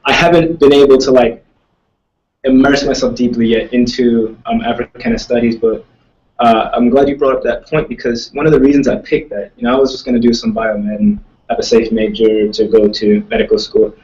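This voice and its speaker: A man's voice: mid-range voice, fairly quick, darkish tone, recorded from a distance, muffled recording. A young man, a student, answering audience questions in casual talk, thoughtful and rambling, with many restarts. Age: young adult. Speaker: student speaker.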